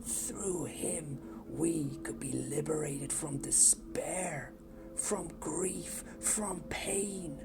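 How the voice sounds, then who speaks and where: Brooding plague-cult zealot, played by a woman: deep, slow, whispery first-person monologue over a loud score. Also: vocal fry, exaggerated voice. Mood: reverent whisper. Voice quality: hushed, often whispering. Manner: intense, brooding, slow and deliberate. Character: embittered orphan turned devoted plague-god cultist. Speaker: audio drama narrator-character. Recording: loud music score underneath. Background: loud music.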